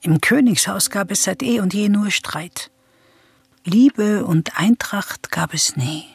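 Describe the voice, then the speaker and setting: An actress playing a sober chorus woman in a Greek tragedy for radio, speaking in a grave, formal, deliberate way. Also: mid-range voice, husky, dry recording, heavily acted. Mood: grave, counselling. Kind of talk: solemn classical radio drama acting.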